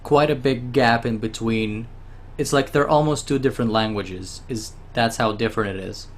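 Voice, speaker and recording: An Israeli man, a native Hebrew speaker, tutoring a new student on a video call, explaining patiently with slow restarts. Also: mid-range voice. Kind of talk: casual orientation call.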